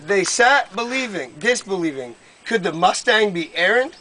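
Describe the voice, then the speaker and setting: A man reading a comic rhyming poem aloud to friends in a measured storybook cadence, on an amateur live recording. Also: sits high, expressive, pushed and bright. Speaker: poem reader.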